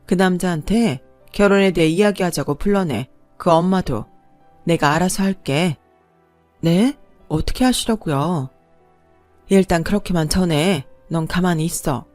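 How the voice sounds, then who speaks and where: A woman's voice: fairly low pitch, normal speed, a little mellow, no echo, soft background music, lightly acted. Woman playing a commanding rich middle-aged lady, firm, cool, protective, dry close sound. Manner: firm and cool, gives orders.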